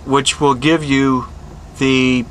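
A middle-aged man working as an HVAC technician, explaining a repair step by step in a plain, unhurried way with many pauses, recorded outdoors beside an air conditioning unit. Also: medium pitch, level tone, a bit bright, a little hiss or hum, poor audio. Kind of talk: hands-on repair tutorial.

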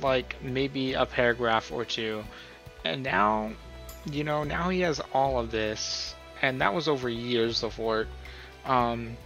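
Casting: male tutorial host, bright forward voice, informal rambling delivery, faint music behind. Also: medium pitch, vocal fry. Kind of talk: casual screen-recorded tutorial talk. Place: voice-over on a screen recording.

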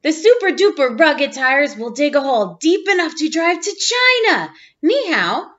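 A woman reads a children's picture book aloud with playful expression. Her voice is high and swings widely in pitch. The sound is slightly dull and lo-fi, like a webcam in a room at home. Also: sing-song, normal speed, fairly bright tone. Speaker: teacher reading aloud. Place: small room at home, indoors.

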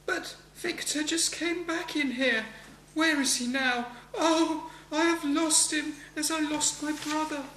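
A woman's voice: high-pitched, fairly even intonation, slow pace, fairly bright tone. A schoolgirl playing a distraught, grieving young lady in an amateur radio play. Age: child, about 12 to 13. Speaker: school pupil acting. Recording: echoey room, faint hum.

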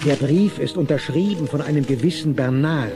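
A man's voice: a little high, normal speed, music in the background, close to an everyday voice. A man narrating a Christmas audio drama as a scripted storyteller. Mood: storytelling. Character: wry male storyteller of a Christmas tale.

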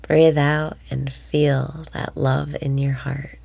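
A woman guides a breathing meditation in a low, gravelly voice that keeps slipping into soft whispers. She speaks slowly and warmly, close to a dry, deadened mic. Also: level tone, dry recording. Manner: slow soothing delivery, drops into whispers.

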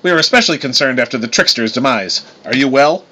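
A man voicing a proud, dryly humorous dwarf craftsman who speaks in a formal, measured way. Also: mid-range voice, expressive, pushed and bright. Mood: courteous.

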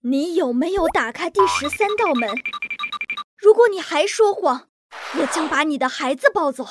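Cartoon storybook: woman doing strict fairy, high, scolding. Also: expressive, normal speed, over music. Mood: stern. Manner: severe, scolding. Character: stern angry fairy woman.

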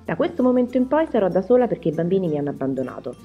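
A woman's voice: lowish voice, very animated, racing, phone-quality sound, faint music behind. A woman narrating a recipe in voice-over as a home cooking host.

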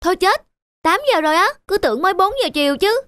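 A woman voicing a sulky, defensive teenage schoolboy who complains whinily in an animated drama. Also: very high voice, fast. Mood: grumbling. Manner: whiny, complaining.

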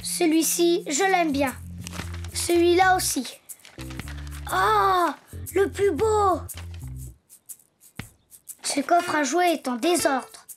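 An actor voicing a cheerful, playful little boy donkey in a preschool cartoon, lively and childlike, with music behind. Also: extremely high pitch, drawn-out words, long pauses, full character voice.

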